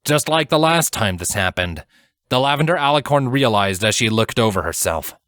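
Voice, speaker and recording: A man narrating a dramatic fantasy story from a script in a measured, solemn storytelling manner. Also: medium pitch, lively intonation, a bit bright, no echo, studio quality. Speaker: audiobook narrator.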